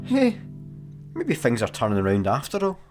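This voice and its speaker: An actor with a Scottish accent playing a daft, easygoing janitor in a sci-fi comedy radio play, casual and obtusely deadpan. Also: male voice, mid-range voice, moderate pace, music in the background. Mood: bemused, cheeky. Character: daft, easygoing, literal-minded male slacker janitor.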